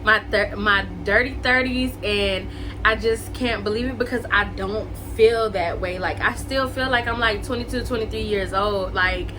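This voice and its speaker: A woman's voice: medium pitch, moderate pace, background noise. A woman in her late twenties chatting casually to her viewers, full of "like" and "um" and calling them y'all. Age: 20s. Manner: chatty, lots of likes and ums, addresses viewers as y'all and guys. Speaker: vlogger. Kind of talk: casual get-to-know-me chat to camera.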